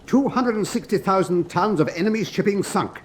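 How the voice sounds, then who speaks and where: An actor playing a stern, reproving court-martial president in a radio drama, speaking with authority. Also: male voice, sits high, expressive, moderate pace, slight background noise.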